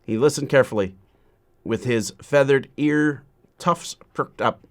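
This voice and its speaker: Man reading a storybook aloud, measured. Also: mid-range voice. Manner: measured, deliberate phrasing with frequent pauses.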